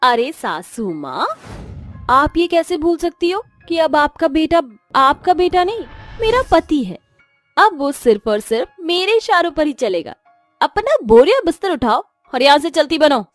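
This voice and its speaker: A woman's voice: high voice, sing-song, unhurried, no room sound, soft background music. A woman voicing a haughty, domineering young daughter-in-law with a taunting, emphatic manner. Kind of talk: animated moral-story voice acting.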